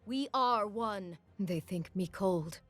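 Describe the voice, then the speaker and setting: Proud young warrior queen from a frozen north, game voicelines, solemn n slow, some music behind. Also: medium pitch. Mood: earnest, resolute. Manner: solemn, declarative, measured. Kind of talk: video game character voicelines.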